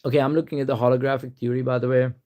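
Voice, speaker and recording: Young pakistani guy streaming, rambly and easygoing, keeps saying to be honest, mid-pitched voice. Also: little pitch movement, very fast, highs cut off. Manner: rambling, reads answers aloud, says "to be honest" a lot. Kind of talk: casual live-stream chat.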